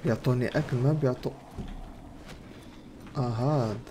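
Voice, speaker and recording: A man's voice: mid-range voice, steady tone, normal speed. A young adult man with a Levantine Arabic accent, casually commenting as he plays a game and relaying its dialogue. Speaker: gaming streamer. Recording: game audio and noise behind voice. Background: video game sounds.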